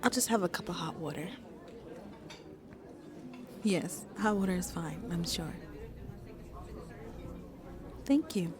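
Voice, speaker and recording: A woman playing a shy, sweet vampire on a first date in an ASMR roleplay, soft-spoken and hesitant, often dropping to a whisper. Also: lowish voice, expressive, unhurried. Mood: friendly, nervous. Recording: crowd chatter in the background. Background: crowd chatter.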